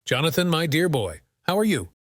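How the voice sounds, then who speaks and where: A man's voice: on the high side, sing-song, brisk, a little hiss or hum. A man playing a genial, paternal boss reassuring an employee in an amateur audio drama. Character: genial paternal male boss on a phone call.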